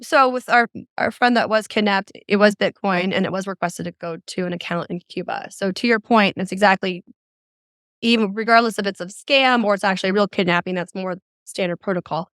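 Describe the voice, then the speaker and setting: A woman's voice: mid-range voice, fairly quick, fairly bright tone, no echo, studio quality. A middle-aged woman telling her own story as an interview guest. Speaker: interview guest, mother recounting a scam. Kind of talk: recounting a personal experience in an interview.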